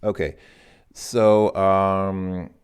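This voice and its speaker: Very deep-voiced man explaining casually. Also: level tone, drawn-out words, clean tone. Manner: thinks aloud, many fillers and self-corrections. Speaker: language teacher. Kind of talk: casual recorded lesson explanation.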